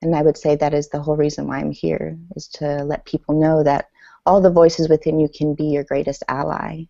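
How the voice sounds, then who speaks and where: Low gravelly woman, dull lo-fi sound. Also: little pitch movement, mellow, dry recording. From Iran. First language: Farsi. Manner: reflective, measured, many ums. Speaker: guest author and speaker. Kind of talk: podcast interview, personal story.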